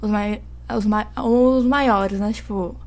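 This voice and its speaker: A woman's voice: medium pitch, steady tone, takes it slowly, darkish tone. A young woman co-hosting a true-crime podcast, rambling with fillers and posing dramatic questions. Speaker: podcast co-host. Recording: faint music behind.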